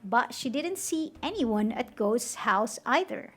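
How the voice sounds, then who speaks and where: Animated, high-pitched woman storyteller. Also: takes it slowly. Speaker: children's storyteller. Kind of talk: expressive picture-book read-aloud.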